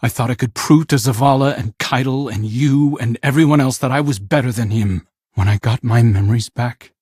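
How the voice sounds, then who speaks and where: A man's voice: mid-range voice, a little mellow, slightly rough, no echo, studio quality, close to an everyday voice. A man playing a remorseful young guardian in a game, speaking in an earnest, introspective and confiding way. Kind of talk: dramatic game voice acting.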